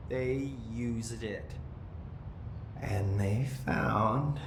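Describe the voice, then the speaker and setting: An actor playing a bitter, possessed young man in a video game, deliberate and detached, in an echoey room with low noise. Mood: cold, bitter.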